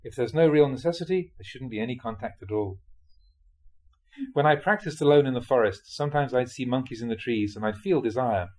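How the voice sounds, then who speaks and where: A man with a mid-pitched voice reads Buddhist teachings from a prepared text. His phrasing is measured and deliberate, laid out in short clauses. It has the sound of a monk narrating a spiritual audiobook. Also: a little mellow. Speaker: Buddhist monk reading translated teachings aloud. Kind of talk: scripted audiobook-style reading of dharma talks.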